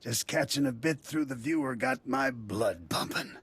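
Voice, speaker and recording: An actor playing a dour, seasoned desert militia leader in a video game, commanding and plainspoken. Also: male voice, medium pitch, moderate pace, a bit bright, slightly rough. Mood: gruff approval.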